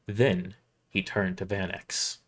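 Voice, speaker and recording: A man narrating a first-person story live, reading aloud a little haltingly with self-corrections. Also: sits low, flat delivery. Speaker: narrator and performer of own audio drama.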